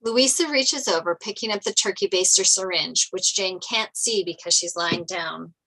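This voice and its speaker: A woman's voice: on the low side, moderate pace, pushed and bright, poor audio. A woman reading stage directions as scripted narration at a table read.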